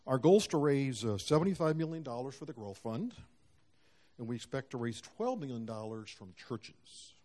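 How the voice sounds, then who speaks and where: A man addresses a congregation with prepared remarks about a community project. His delivery is measured and hopeful, closing with an appeal to join in. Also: mid-range voice, sing-song, a little mellow. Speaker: church member presenting a project.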